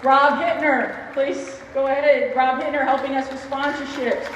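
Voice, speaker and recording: A woman's voice: high-pitched, fairly even intonation, measured pace, lo-fi sound. A woman hosting a ceremony as the organization's leader, speaking informally and off the cuff. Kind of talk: informal unscripted ceremony address. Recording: echoey distant PA with faint noise.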